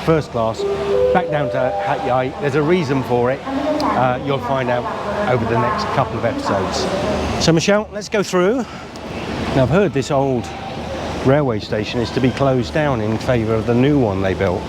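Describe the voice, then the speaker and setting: Croaky man vlogging from a noisy station. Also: a little high. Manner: chatty, rambling, self-correcting. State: croaky from a sore throat. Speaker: travel vlogger. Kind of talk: casual chat to camera. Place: busy railway station platform. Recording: loud station and train noise.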